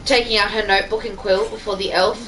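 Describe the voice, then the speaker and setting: A woman's voice: mid-range voice, fairly even intonation, quick pace, music in the background, rough recording. A woman reading fan fiction aloud in an amateur style, breaking off for casual banter. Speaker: reader.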